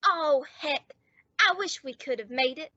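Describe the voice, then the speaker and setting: Woman playing a very high-pitched lovesick teenage boy. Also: measured pace, sharp tone, no room sound, lo-fi sound. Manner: earnest, overwrought. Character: lovesick, anxious, cowardly teenage boy, squeaky and melodramatic. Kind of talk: old-time radio comedy acting.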